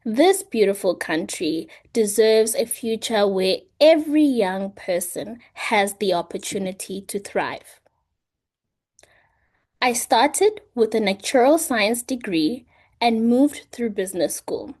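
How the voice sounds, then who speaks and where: A woman from South Africa with a South African accent, a native Zulu speaker, giving a deliberate prepared self-introduction as a scholarship student. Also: medium pitch, lively intonation, a little mellow. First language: isiZulu.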